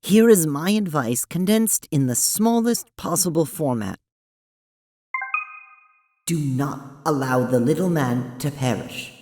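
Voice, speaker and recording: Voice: mid-range voice, animated, slow pace. A performer voicing a formal, long-winded young female alien advisor in an audio drama, speaking precisely and wordily. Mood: grave. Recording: noticeable background noise with clicks.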